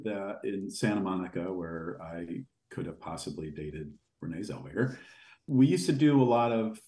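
A man with a deep voice telling long, rambling anecdotes as a podcast guest. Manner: rambling, animated anecdotes with fillers. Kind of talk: casual storytelling in an interview. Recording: echoey room.

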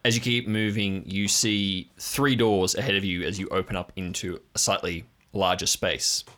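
A man improvising scene descriptions as game master of an audio drama, pausing between phrases. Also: sits low, fairly even intonation, bright, forceful tone.

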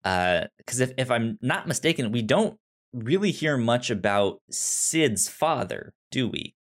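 A man discusses a film casually as a podcast co-host, speaking slowly with very mobile intonation. His delivery is thoughtful and halting, with a frequent stutter that repeats sounds and syllables. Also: medium pitch, expressive.

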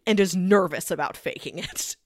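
A woman's voice: fairly low pitch, normal speed, no echo. A woman talks as a podcast co-host in a casual film discussion. She is enthusiastic and analytical, speaking conversationally. The sound is dry, with little room in it.

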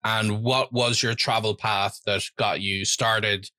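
Bright-voiced male podcast host. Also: fairly low pitch, fairly even intonation, slow, no echo. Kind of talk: podcast interview.

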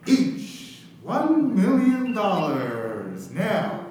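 Evil doctor, man acting, zany, pushy, jumping above his own pitch, improvised sketch, echo-heavy recording. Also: huge pitch swings, drawn-out words, a little mellow, a little hiss or hum, full character voice. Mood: scheming, pushy. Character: zany evil doctor selling one-way trips off the world. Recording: echoey, distant video-call workshop recording.

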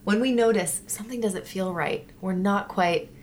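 A woman's voice: medium pitch, moderate pace, slight background noise. A woman in her thirties co-hosting a casual talk show, speaking thoughtfully and reflectively. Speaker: podcast co-host. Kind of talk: casual conversational chat.

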